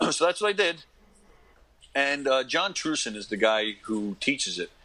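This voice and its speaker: An American man in his fifties, an interview guest, talking casually in a rambling, self-deprecating way and often clearing his throat. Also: mid-range voice, big pitch swings, a little slow, sharp tone. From Long Island, New York, United States. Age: 50s. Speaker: interview guest, actor and comedy performer. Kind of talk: casual conversational storytelling.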